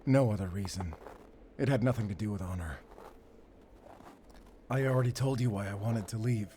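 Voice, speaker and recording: A man's voice: on the low side, dark tone, slight background noise, close to an everyday voice. A man playing a wounded, stoic werewolf hunter who speaks in terse, strained phrases in a cinematic audio drama. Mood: grave, resigned. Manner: terse, halting, strained delivery. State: hurt, weakened. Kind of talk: cinematic audio roleplay acting.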